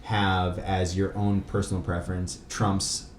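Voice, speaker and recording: Deep-voiced man podcasting in an echoey room. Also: level tone, measured pace. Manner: blunt, sweary, supportive. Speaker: podcast host. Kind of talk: casual conversational interviewing. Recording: roomy echo with faint noise.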